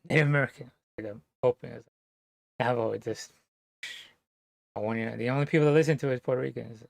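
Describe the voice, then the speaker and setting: A man from New Jersey talking to camera in a rambling, casual monologue, halting and full of fillers, swearing now and then. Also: mid-range voice, creaky, no echo. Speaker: vlogger.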